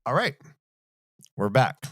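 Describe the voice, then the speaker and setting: A man's voice: medium pitch, big pitch swings, slow pace, loud music behind the voice. A man co-hosting a casual book podcast, talking in a jokey, mock-serious way. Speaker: co-host of a fantasy book re-read podcast.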